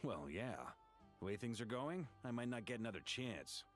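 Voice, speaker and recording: An actor voicing a seasoned, pragmatic knight commander in a video game, talking in a measured, informal way. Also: male voice, mid-range voice, sing-song, soft background music, lightly acted. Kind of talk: scripted video game dialogue acting.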